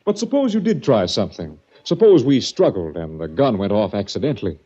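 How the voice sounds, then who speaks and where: A silky, sinister doctor. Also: male voice, on the low side, sing-song, brisk, mellow, slightly rough. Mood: menacing. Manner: silky, menacing politeness. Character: smooth, sinister male sanitarium director. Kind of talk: 1940s radio acting. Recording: dull old radio recording.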